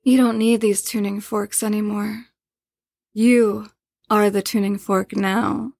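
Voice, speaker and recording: A woman's voice: mid-range voice, little pitch movement, slow, vocal fry, a little mellow. An actress playing a commanding, taunting spirit woman who haunts a manor in a horror audio drama, with an insinuating manner. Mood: taunting, superior. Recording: voice over prominent background music.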